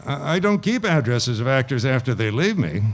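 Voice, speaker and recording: A man's voice: medium pitch, sing-song, moderate pace, slight background noise. A man acting an evasive, deal-making Hollywood talent agent in a 1950s-style radio play, with a folksy, hesitant way of talking. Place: live radio play performance at an event.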